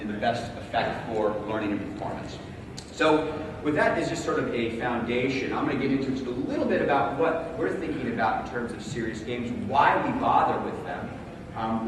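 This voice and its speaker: A man with a big voice giving a conference talk, speaking casually with many fillers and calling on the audience for answers. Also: mid-range voice. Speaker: conference presenter. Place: large conference hall. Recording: echoey hall, distant mic, room noise.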